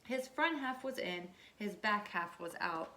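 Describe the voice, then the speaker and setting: A woman's voice: mid-range voice, expressive, a little slow, pushed and bright. A woman teacher reading a children's storybook aloud and checking in with her listeners in an instructive way. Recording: echoey room.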